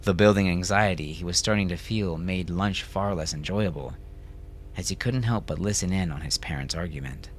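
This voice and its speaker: A man's voice: sits low, normal speed, a little hiss or hum. A man reading scripted fiction as an audiobook narrator.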